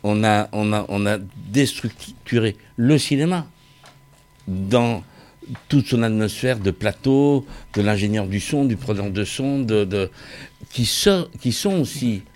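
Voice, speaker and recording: The deep-ish voiced middle-aged man at a public debate who takes the floor and presses his point haltingly. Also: expressive, darkish tone. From Vietnamese origin. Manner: halting, repeats phrases, insistent. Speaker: discussion participant, former craftsman and sound technician. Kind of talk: impassioned opinion in a group discussion.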